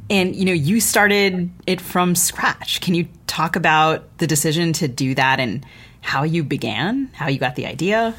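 A woman with a lowish voice who co-hosts a podcast. She asks the guest questions in a friendly, curious way. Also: lively intonation, measured pace, fairly bright tone, slightly rough. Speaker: podcast co-host. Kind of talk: podcast interview.

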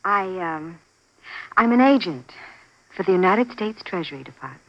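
A woman's voice: lowish voice, lively intonation, moderate pace, lo-fi sound, close to an everyday voice. A woman playing a coolly polite young undercover government agent in 1950s radio drama style. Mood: confessing. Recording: band-limited vintage 1950s radio recording.

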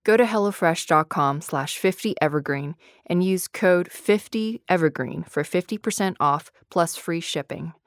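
Lady doing a podcast sponsor read, lowish voice, conversational script, super dry close mic. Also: moderate pace, hardly any pauses, no echo. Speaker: podcast ad reader.

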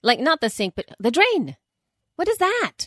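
A woman from El Salvador chatting casually as a podcast co-host, animated and exasperated, her pitch swooping up. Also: moderate pace, no room sound, clean studio sound. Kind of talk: casual chat.

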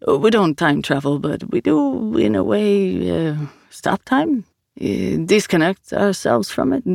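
An audiobook narrator voicing a serene male acolyte who speaks haltingly and philosophically, searching for words. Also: mid-range voice, animated, gravelly, a little mellow, dry recording, studio quality, voice pushed up, exaggerated voice. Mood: gentle, halting. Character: serene, hesitant otherworldly religious acolyte, a male. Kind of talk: audiobook character dialogue.

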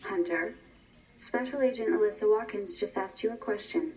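A woman's voice: medium pitch, moderate pace, darkish tone, close to an everyday voice. An actress in an audio drama playing a polite female nurse android. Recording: distant, echoey, music behind.

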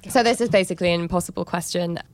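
A woman's voice: fairly low pitch, very fast. A woman co-hosting an interview, asking questions in a playful, friendly way.

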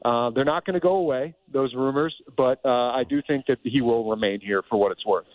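A man's voice: medium pitch, fairly quick, no echo. Man on a tinny phone line. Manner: casual, thankful. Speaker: guest, play-by-play broadcaster. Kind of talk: phone-in interview.

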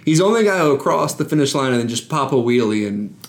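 A man's voice: mid-range voice, very animated, fast. A man co-hosting a casual podcast, eagerly explaining bike racing to his friends. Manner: enthusiastic, explains cycling. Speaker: podcast co-host. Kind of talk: casual chat.

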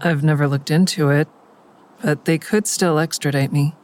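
A woman's voice: low-pitched, steady tone, normal speed, darkish tone, natural voice. An actress playing an anxious, conflicted woman in an audio drama, speaking plainly and with some hesitation. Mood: worried.

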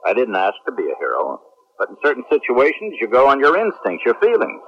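A man playing a blunt, wry, emotional space captain in a science fiction radio drama, with an expressive, informal delivery. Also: mid-range voice, brisk. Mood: frank, reflective. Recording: muffled, noisy 1970s radio recording.

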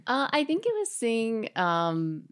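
A woman speaking casually as an interview guest, with halting, reflective phrasing. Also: a little high, sing-song, crowd noise. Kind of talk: casual conversation.

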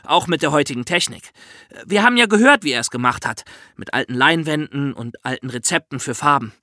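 A woman's voice: low voice, expressive, brisk, pushed and bright, no room sound, clean studio sound. A woman co-hosting a podcast in a casual discussion style. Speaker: podcast co-host.

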